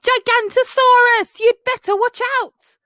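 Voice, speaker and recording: A woman voicing a cheeky, boastful young boy dinosaur for a storybook, teasing and shouty. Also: extremely high pitch, fairly even intonation, measured pace, no echo, studio quality, pitched above the performer's own voice, full character voice. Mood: alarmed shouting.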